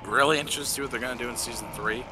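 A young man in casual conversation, quick to jump in and correct his friend, calling him bro and dude. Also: medium pitch, noisy background. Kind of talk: casual chat.

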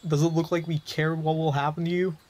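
Hostile townsman played by a man, flat delivery, blunt and threatening, fantasy audio drama. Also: on the high side, fairly quick, natural voice. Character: hostile, unyielding townsman enforcing eviction.

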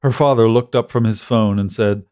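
A man's voice: on the low side, fairly even intonation, normal speed, dark tone, clear, resonant tone. A man reading a scripted short story as an audiobook narrator.

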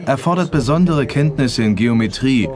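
A man's voice: mid-range voice, lively intonation, moderate pace, crowd noise, close to an everyday voice. A man reading a scripted documentary voice-over as an expert commentator. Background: music.

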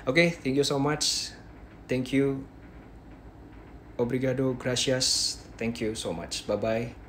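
A young man with an Indonesian accent and a slightly sore throat, teaching dentistry on a phone livestream, halting, with many "yeah" tags. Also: mid-range voice, steady tone, fairly bright tone, a little hiss or hum. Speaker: dentist teaching a live demo. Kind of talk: live-stream teaching, reading viewer questions. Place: small bedroom at night. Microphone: phone held close at arm's length.

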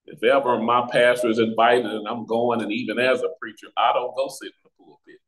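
An American man teaching a Bible study as a pastor, with deliberate, emphatic phrasing and pauses, over a video call. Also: medium pitch, flat delivery, a bit bright, poor audio. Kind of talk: Bible teaching with scripture reading. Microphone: video call microphone.

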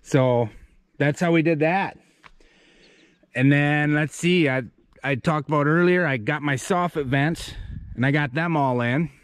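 A man's voice: on the high side, a little slow, long pauses, smooth, dry recording, clean studio sound. A man, chatting casually in a folksy, self-deprecating, rambling way as he shows his building work. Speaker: homesteader vlogging his barn build. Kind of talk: casual walk-through chat. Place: outdoors at a rural building site and inside the wooden building.

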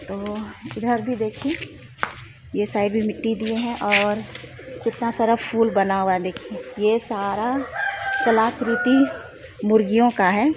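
A woman's voice: medium pitch, steady tone, slow pace. A young woman from Jharkhand, India, vlogging her chores in a village yard, talking casually into a phone held at arm's length. She sounds tired from a day of physical work. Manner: chatty, showing chores while walking and working. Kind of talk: casual vlog narration to camera. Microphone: phone camera at arm's length. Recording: dull lo-fi phone audio with clear outdoor noise.

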